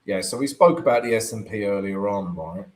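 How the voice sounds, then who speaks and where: A man with a croaky voice hosting a live trading stream, chatting through his charts and answering viewer comments, often checking in with "right?". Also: on the low side, fairly quick, noisy background. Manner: chatty, keeps asking "right?" to the audience.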